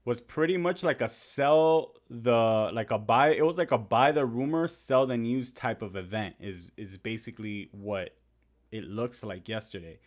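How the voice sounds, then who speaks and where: A man's voice: medium pitch, moderate pace. A young man whose first language is Spanish, hosting a casual live stream, reading viewer comments and talking through trading charts. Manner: chatty, reads and answers viewer comments.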